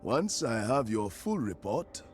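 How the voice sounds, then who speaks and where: A man's voice: mid-range voice, very animated, measured pace, soft background music. A man voicing a shrewd, stern commander in a fantasy game, with a formal, authoritative manner. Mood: dry, measured. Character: stern, shrewd male commander of a threatened city.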